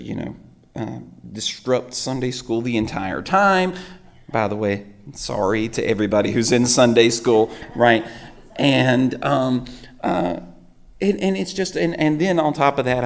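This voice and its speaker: A man, a pastor preaching with a sore, hoarse throat, telling rambling self-mocking stories. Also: medium pitch. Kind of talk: sermon.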